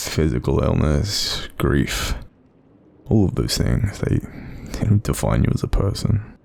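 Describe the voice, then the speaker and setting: Casting: male actor as supportive Australian night demon for roleplay audio, breathy and husky, lively intonation, tender and reassuring. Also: heavily acted. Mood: tender, encouraging. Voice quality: very deep, gravelly, breathy. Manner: gentle, reassuring, informal. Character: kind, supportive male sleep paralysis demon watching over someone. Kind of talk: soothing roleplay audio monologue.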